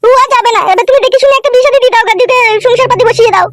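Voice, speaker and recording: A man's voice: very high-pitched, rapid-fire, fairly bright tone, voice pushed up. A man voicing a protective village mother and wife in a comic cartoon, placating and coaxing.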